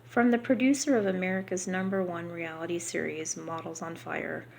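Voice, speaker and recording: A woman reading a book aloud unrehearsed, halting here and there and slipping into casual asides. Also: on the low side, steady tone, creaky, a little hiss or hum.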